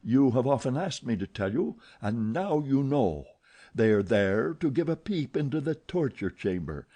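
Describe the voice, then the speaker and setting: A man's voice: mid-range voice, big pitch swings, measured pace, dark tone, breathy edge. A man voicing an angry, jealous masked man who snarls commands and mockery in an audiobook. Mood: menacing. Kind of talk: audiobook character voice.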